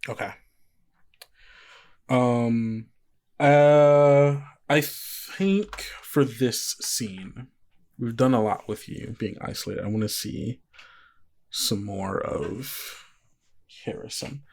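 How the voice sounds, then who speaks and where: A man with a medium-pitched voice runs a tabletop game in a casual, conversational way. He works out ideas as he speaks, tentatively, and keeps tossing questions to the other player. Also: extremely slow, creaky, pauses often, a little mellow. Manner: thinking aloud, tentative, often asks questions. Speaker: game master of an actual-play podcast.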